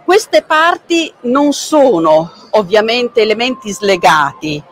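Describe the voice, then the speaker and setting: A middle-aged woman, a curator presenting a book at a public talk, speaking in a measured way with frequent hesitant pauses, recorded by a camera at some distance in a large book fair hall. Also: fairly high pitch, sing-song, faint music behind. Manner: measured, frequent "eh" pauses, gestures while talking.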